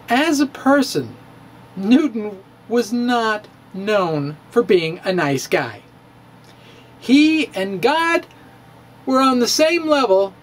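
Middle-aged man, theatrical playful reading, very lively pitch, slow unhurried pace, faint room hiss. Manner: theatrical, playful, with pauses and dips. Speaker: physics blogger. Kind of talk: dramatic reading of his own blog. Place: home room with bookshelves. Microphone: studio mic in front of him.

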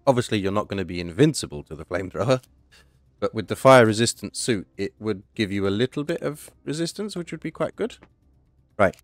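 Hoarse guy, lowish voice, rambling gameplay commentary, bit of music behind. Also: lively intonation, brisk, dry recording. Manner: chatty, thinking aloud, self-correcting. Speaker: gaming channel host.

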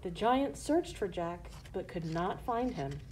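Mellow woman narrating a children's story. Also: fairly low pitch, big pitch swings, measured pace, a little hiss or hum, rough recording.

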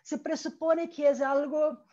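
A middle-aged woman with a Brazilian accent, a native Portuguese speaker, presenting academically and haltingly on a dull-sounding video call. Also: sits high, fairly even intonation, dark tone. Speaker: academic panelist, researcher. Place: room with bookshelves indoors. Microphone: earphone mic on video call.

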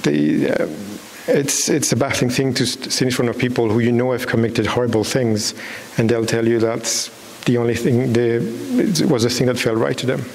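A man in his thirties from Belgium, a documentary filmmaker, giving a halting, unscripted answer on stage with repeated false starts. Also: medium pitch. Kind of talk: unscripted answer at a public talk. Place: on stage in a large dark hall with an audience. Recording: echoey hall with clear background hiss.

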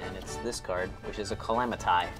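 A man's voice: low voice, steady tone, brisk, a bit bright, soft background music. A young American man bantering casually over a board game and explaining rules. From Virginia, United States. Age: young adult. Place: indoor studio room at a table.

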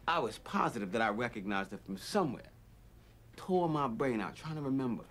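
A man's voice: mid-range voice, animated, brisk, fairly bright tone. An actor playing a sly male prison inmate who mocks and rambles, swearing as he talks. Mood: taunting. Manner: mocking, rambling, profane. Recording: noisy background.

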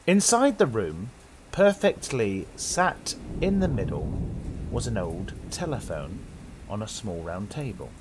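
Animated British male horror storyteller. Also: lowish voice, a little slow, noisy background. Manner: dramatic, suspenseful delivery. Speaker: horror story host and narrator. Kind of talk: theatrical scripted horror narration inspired by old radio.

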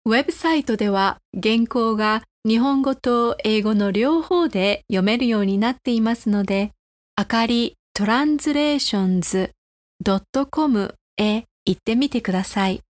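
Quick, higher-pitched woman presenter. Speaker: podcast presenter. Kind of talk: scripted explanation for language learners.

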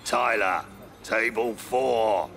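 Man doing a slow, gravelly, gruff kitchen boss. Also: fairly low pitch, a bit bright, heavily acted. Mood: curt, impatient. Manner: curt, barking orders. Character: gruff kitchen boss in a sleazy casino bar. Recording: music and kitchen clatter behind.

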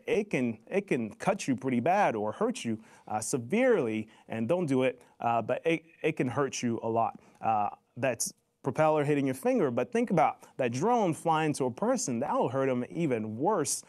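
A man's voice: mid-range voice, a little mellow. Man explaining simply, step by step. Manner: simple step-by-step explaining, posing questions and answering them. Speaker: Air Force flight test presenter. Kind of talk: explanatory live online talk for schoolchildren. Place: indoors on a video call. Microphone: video call microphone.

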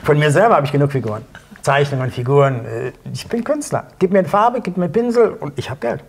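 A man's voice: on the high side, expressive, fast, vocal fry, slightly rough. A middle-aged man chatting casually as an interview guest, self-assured and speaking in short, clipped phrases. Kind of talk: casual anecdotal chat. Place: indoor studio set, seated at a table.

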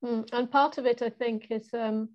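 A woman moderating an online panel discussion, speaking thoughtfully with many pauses and restarts. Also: a little high, steady tone. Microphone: video call laptop mic. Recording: dull lo-fi video call audio.

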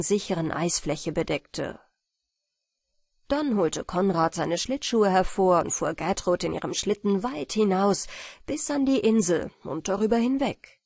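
A woman reading a bedtime fairy tale as an audiobook narrator, in measured and expressive storytelling. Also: medium pitch, creaky, breathy edge, no room sound, studio quality.

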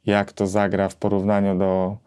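A man in his thirties explaining a gear comparison conversationally, with hesitant pauses as he thinks. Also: low voice, monotone, warm tone, clean tone. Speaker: gear reviewer and co-host. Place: small home music studio, indoors.

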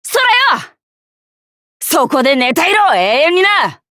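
A hot-blooded young tomboy knight from an anime game. The voice is high and sharp, swinging widely in pitch, with rough, slangy, swaggering phrasing and a brash, cocky temper. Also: female voice, big pitch swings, slow, no echo, clean studio sound, full character voice. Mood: fierce, battle-ready. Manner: rough masculine slangy speech, boisterous, swaggering. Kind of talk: Japanese anime game character voice lines.

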